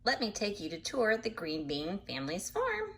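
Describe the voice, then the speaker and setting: A woman reading a picture book aloud to children in an animated way, pausing to explain things patiently in simple words. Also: medium pitch, slight background noise. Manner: animated, acts out animal sounds, addresses young listeners. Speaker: read-aloud storyteller for children.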